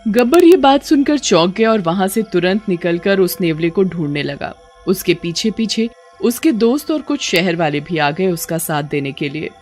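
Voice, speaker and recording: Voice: mid-range voice, lively intonation, normal speed, a little mellow, faint music behind. A storyteller reading scripted horror story narration.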